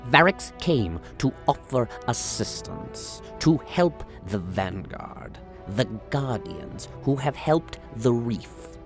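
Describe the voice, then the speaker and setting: A man voicing a humble alien scribe in a radio play, with a croaking, burbling voice and halting, solemn, earnest speech. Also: medium pitch, very animated, music in the background, full character voice. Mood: pleading.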